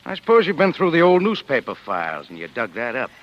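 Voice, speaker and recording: An actor playing a wry, skeptical homicide lieutenant in a 1940s radio drama, with a sardonic, needling, colloquial delivery. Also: male voice, mid-range voice, wildly swooping pitch, quick pace. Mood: mocking. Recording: dull, lo-fi vintage radio recording.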